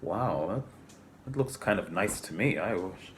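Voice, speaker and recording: A man's voice: sits low, expressive, unhurried, slight background noise. A man reading a picture book aloud to children with a polite, theatrical delivery and chatty asides. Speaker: library storytime presenter.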